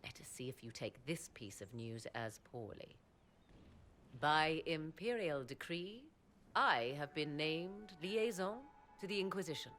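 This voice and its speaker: A woman's voice: deep voice, lively intonation, a little slow, fairly bright tone, faint music behind. A woman voicing a haughty, wry sorceress in a video game, with archaic, formal and mocking phrasing. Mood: wry, teasing. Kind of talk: dramatic video game acting.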